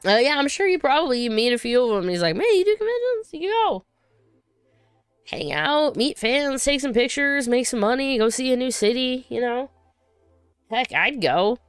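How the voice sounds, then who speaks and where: Chatty woman host, very clear voice. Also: sits high, sing-song, moderate pace, no echo, soft background music. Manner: rambling, chatty, swears casually. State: recovering from losing her voice. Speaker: livestream host. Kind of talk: casual livestream chat reading viewer comments.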